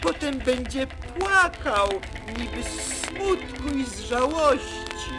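An old storybook stork, very high and shrill. The bird is excitable and clatters out warnings with great urgency, over clear, loud music. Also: male voice, slow, heavily acted. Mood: urgent warning. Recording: music behind the voice.

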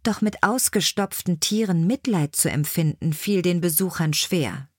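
Lower-pitched woman narrator, dry studio sound. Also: normal speed, breathy edge, no room sound. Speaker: audiobook narrator. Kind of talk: scripted storybook narration.

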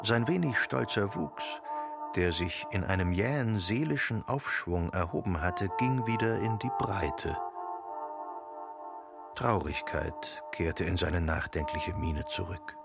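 A man reading literary narration for a radio drama in a measured storytelling manner. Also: low-pitched, creaky, over loud music. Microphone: close studio microphone.

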